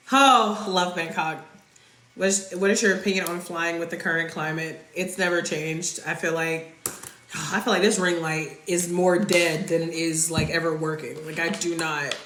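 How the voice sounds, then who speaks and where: Chatty bright-voiced woman in an echoey room. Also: lowish voice. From North Carolina, US. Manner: chatty and rambling, many "like" fillers, answers comments aloud. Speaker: travel creator hosting a live Q&A. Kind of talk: casual livestream chat reading viewer comments. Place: indoors at home under a ring light.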